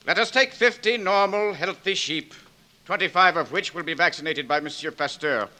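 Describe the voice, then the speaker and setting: An actor playing a haughty, challenging medical academician, formal and declamatory in 1940s radio drama style. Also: male voice, a little high, normal speed, sharp tone. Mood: defiant.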